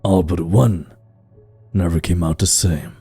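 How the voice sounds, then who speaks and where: A man acts out a proud troll king of a stone people in a fantasy audio drama. His voice is very low and he talks slowly and formally, with grave authority. Music plays clearly underneath. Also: steady tone, warm tone, full character voice. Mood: accusing, menacing. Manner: stately, formal, deliberate. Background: background music.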